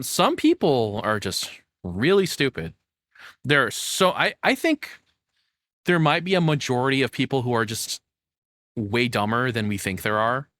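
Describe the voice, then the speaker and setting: A man with a fairly low voice, recorded dry and close with little room sound. He talks off the cuff like a reaction host, rambling conversationally with a sardonic edge. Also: fairly bright tone, no room sound. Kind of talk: casual off-the-cuff reaction commentary.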